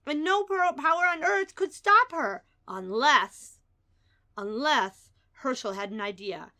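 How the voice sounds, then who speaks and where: A woman's voice: on the high side, sharp tone. A woman narrating a storybook as a dramatic reading, theatrical and emphatic, building suspense.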